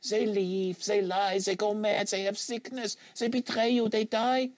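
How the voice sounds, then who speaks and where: A man's voice: high voice, little pitch movement, unhurried, no echo, pitched above the performer's own voice. A man voicing an earnest, lecturing assassin for an audiobook, with solemn rhetorical delivery and foreign phrasing. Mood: fervent, preaching. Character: earnest, lecturing French-Canadian male assassin in a wheelchair.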